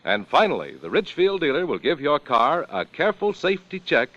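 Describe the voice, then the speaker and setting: Man doing an old radio ad, high lively voice, muffled old sound. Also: fairly quick. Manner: bright, lively sales pitch. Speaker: announcer. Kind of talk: 1940s radio commercial. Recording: dull lo-fi old radio recording.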